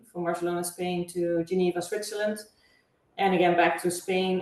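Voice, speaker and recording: A woman from the Netherlands with a Dutch accent, a guest answering interview questions in a measured, thoughtful way with frequent fillers. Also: lowish voice, darkish tone. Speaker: guest, travel agency owner. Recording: echoey room, far from mic.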